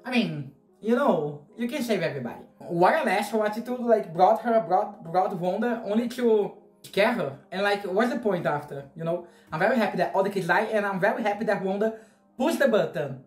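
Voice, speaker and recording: A Brazilian man in his thirties whose native language is Portuguese, reacting to a horror movie and speaking English with a Brazilian Portuguese accent in a gushing, excitable way, swearing casually. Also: high voice, fairly quick, echoey room, faint music behind. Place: small bedroom, indoors. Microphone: wired earphone mic.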